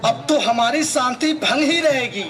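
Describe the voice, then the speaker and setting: Man voicing very high-pitched grumbling villager. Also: animated, measured pace, bright, forceful tone, faint music behind, a faint crowd, full character voice. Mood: aggrieved. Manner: complaining, defensive. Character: disgruntled, grumbling, self-important village man puppet. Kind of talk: village puppet-show drama.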